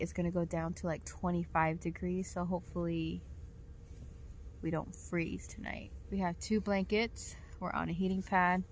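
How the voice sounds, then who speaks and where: A young woman in her twenties, narrating a travel vlog in a relaxed, matter-of-fact way, filming herself on a phone inside a parked truck. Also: fairly low pitch, a little hiss or hum. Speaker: travel vlogger.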